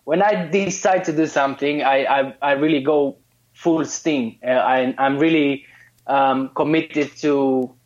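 A man's voice: mid-range voice, fairly even intonation, unhurried. A man from Romania, a guest in a casual interview, who talks hesitantly with many fillers and restarts. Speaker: guest, course student. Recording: muffled lo-fi call audio.

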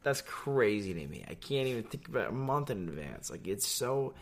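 A young man from New York, a podcast guest, chatting casually in a rambling, animated way with many fillers. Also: lowish voice, normal speed, gravelly. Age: young adult. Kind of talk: casual chat.

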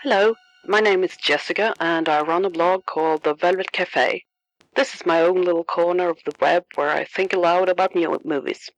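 A woman with a Swedish accent, a film blogger reading a friendly, measured segment introducing a horror score, with a slightly wry touch. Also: on the low side, steady tone, soft background music, low-quality recording. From Sweden. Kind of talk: scripted recorded segment.

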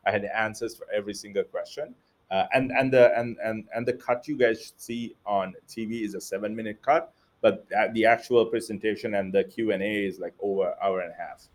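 A man's voice: lowish voice, steady tone, fairly quick. A man from Sri Lanka, an entrepreneur guest, answering interview questions casually with many fillers and restarts.

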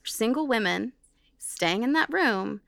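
Bright-voiced woman telling a story casually. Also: a little high, slow, gravelly. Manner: animated retelling, acts out quoted remarks. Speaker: podcast co-host.

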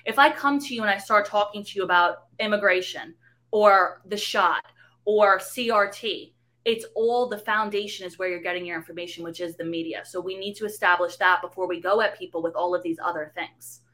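A woman's voice: medium pitch, a little fast, fairly bright tone. A young woman from New York City with a slight Bronx accent, answering interview questions in articulate, detailed explanations. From New York City, USA. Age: young adult. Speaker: guest.

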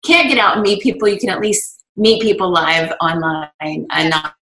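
A woman's voice: mid-range voice, lively intonation, moderate pace, a bit bright. A woman interviewing a program graduate in a chatty, encouraging way, asking long questions. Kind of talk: casual interview. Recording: echoey room sound.

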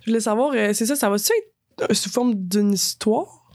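A woman's voice: medium pitch, a little mellow, no room sound. Chatty Quebec woman, dry close sound. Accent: Quebec French. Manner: quick, chatty, laughing asides. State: had a cold recently. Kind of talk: casual chat.

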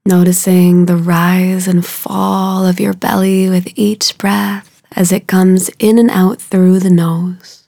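A woman leads a guided meditation in a hushed, soothing voice. Her delivery is very slow and level, barely moving in pitch, and phrases trail off softly into breath. There is a gravelly fry in her tone, and the sound is close and unhurried. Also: level tone, dry recording.

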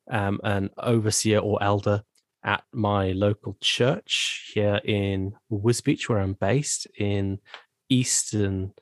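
Low-voiced man from Zimbabwe. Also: no echo. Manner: thoughtful, with pauses and restarts. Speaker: guest, church elder. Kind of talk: conversational interview.

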